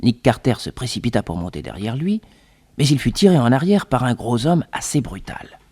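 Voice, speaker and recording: Casting: storyteller for a detective serial, mid-range voice with some rasp, building suspense. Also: male voice, medium pitch, very animated, moderate pace, a little mellow. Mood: suspenseful. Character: detective's loyal assistant recounting the adventure. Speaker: narrator. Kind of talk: scripted suspense narration, French radio serial.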